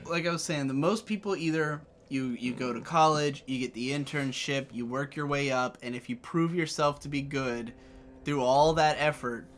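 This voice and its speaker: A man's voice: mid-range voice, normal speed, slight background noise. A young man in his twenties chatting casually as co-host, rambling with restarts and casual swearing. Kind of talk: casual chat.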